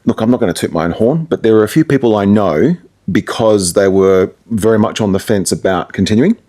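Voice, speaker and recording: A man's voice: lowish voice, fairly quick, dark tone. A man talking casually in an interview as a radio presenter, explaining things through anecdotes and asides.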